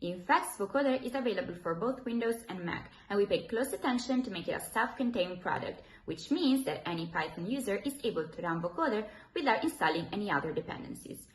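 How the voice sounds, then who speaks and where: A woman's voice: mid-range voice, lively intonation, a little fast, few pauses. A young woman, a student presenter, giving a scripted technical presentation. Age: young adult. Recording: roomy echo with faint hiss.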